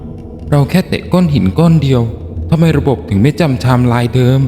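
Guy reading as scared young woman time traveler, anxious questions, pitched up a bit, big reverb, music under. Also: brisk, a little hiss or hum, close to an everyday voice. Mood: alarmed. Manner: hushed, questioning, rising in alarm.

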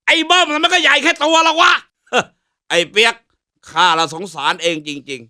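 A man's voice: very high voice, sing-song, normal speed, sharp tone, heavily acted. A man voicing a cocky, trash-talking country tough guy as a comic game announcer, boastful and mocking with slangy swagger. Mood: smug, mocking.

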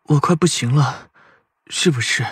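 An actor playing a wounded, weary young man in an audio drama, breathy and sighing. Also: mid-range voice, a bit bright, no room sound, studio quality, heavily acted.

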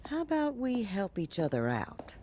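An actor playing a shrewd, folksy woman executive with cool menace and a measured delivery in a video game cutscene. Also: mid-range voice, huge pitch swings, dark tone, a little hiss or hum. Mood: sly, persuasive. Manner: measured, folksy turns of phrase.

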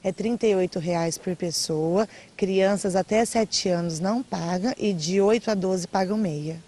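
A woman's voice: lowish voice, unhurried, warm tone. A young woman being interviewed outdoors, answering in a casual, chatty way. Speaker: marketing manager of a charity. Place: outdoors by a brick wall.

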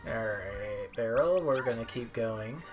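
A man's voice: mid-range voice, expressive, unhurried. A young man giving casual, wry, rambling commentary on a video game. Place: indoor room at home. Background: game music.

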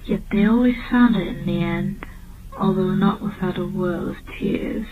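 Female storyteller for an eerie children's tale: unhurried, soft and half-whispered, on a thin, noisy old tape. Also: lowish voice. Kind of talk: scripted narration of a dark children's tale. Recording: muffled, noisy old tape, phone-like band.

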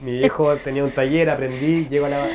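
A man in his thirties hosting an interview, quick and jokey, often tripping over his own words. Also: mid-range voice, little pitch movement, a crowd in the background. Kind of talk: conversational interview. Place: open shed outdoors.

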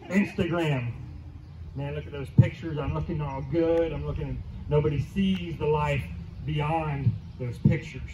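A middle-aged pastor giving a conversational outdoor sermon, asking the crowd questions and repeating key lines, heard through a handheld mic and PA. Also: male voice, high-pitched, a little mellow, a little hiss or hum. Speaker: teaching pastor. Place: church lawn outdoors, congregation under a tent. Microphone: handheld mic through PA speakers, filmed from afar. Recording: distant, roomy outdoor PA sound.

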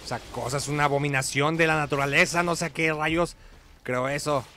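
A man's voice: a little high, steady tone, fairly quick, pushed and bright, slight background noise. A man giving casual, playful game playthrough commentary as the host. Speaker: gaming video host. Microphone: voice-over mic over game audio. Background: game music.

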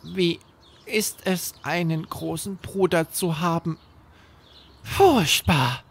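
Young boy , acted by a man: high, slow, simple earnest talk, a little worried, bedtime audio story. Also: vocal fry, breathy edge, exaggerated voice.

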